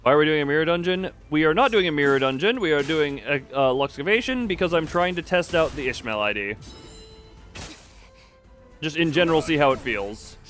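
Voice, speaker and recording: A man's voice: fairly high pitch, expressive, a little fast, fairly bright tone. A man doing casual livestream game commentary, thinking aloud in a rambling way and often trailing off mid-sentence. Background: game music.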